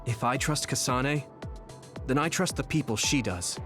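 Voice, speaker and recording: An actor playing an earnest, trusting young soldier in an English game dub, speaking with soft sincerity. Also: male voice, medium pitch, moderate pace, over music, natural voice. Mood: sincere, calm.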